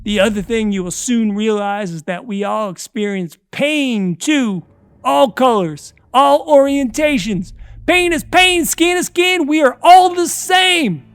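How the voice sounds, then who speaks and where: A man voicing a psychotic male captor with a butcher knife, speaking slowly and menacingly, over faint background music. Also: high voice, no echo, clean studio sound, voice pushed up. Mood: preachy, unhinged. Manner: slow, menacing, preachy.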